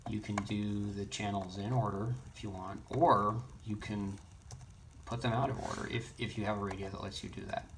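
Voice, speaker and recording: A man's voice: fairly low pitch, steady tone, moderate pace, vocal fry. A man presenting a casual, hands-on radio tutorial, explaining things in an informal, rambling way. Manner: informal, rambling explanations with frequent "you know". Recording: noisy, with keyboard and clicking sounds.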